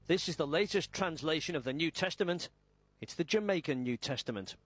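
A man's voice: a little high, animated, fairly quick, a bit bright. A man with a British accent reads scripted television news as a studio presenter.

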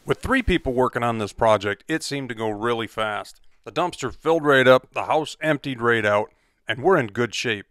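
A man's voice: mid-range voice, lively intonation, moderate pace, fairly bright tone, no echo. A middle-aged man narrating a casual vlog in an easygoing, wry, matter-of-fact way, speaking outdoors in the woods. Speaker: vlogger. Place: outdoors in a pine forest.

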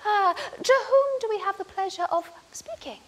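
A woman's voice: very high-pitched, lively intonation, normal speed, slight background noise, voice pushed up. Woman doing a pompous jolly sea captain. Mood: flustered. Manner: fussy polite old-fashioned, "I say".

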